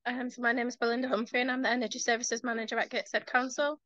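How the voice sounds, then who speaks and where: A young woman, a council energy manager giving a webinar talk over a video call, slightly croaky from a sore throat, chatty and informal with frequent ums. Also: fairly high pitch, flat delivery, very fast, fairly bright tone, dry recording. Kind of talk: webinar presentation. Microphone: headset on a video call. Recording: dull, lo-fi video call audio.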